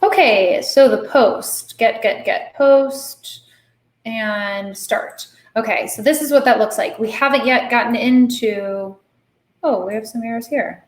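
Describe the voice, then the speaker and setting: A woman hosting a live coding stream, slightly hoarse, talking herself through the code with lots of fillers. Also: fairly high pitch, lively intonation, slow pace. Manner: thinking aloud while coding, many fillers. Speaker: host. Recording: echoey room.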